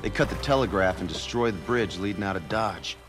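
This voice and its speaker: A man's voice: deep voice, fairly quick, music in the background, close to an everyday voice. A man playing a weary, plain-spoken middle-aged American ferryman in a western video game. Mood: weary, matter-of-fact. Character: weary middle-aged frontier ferryman bringing bad news.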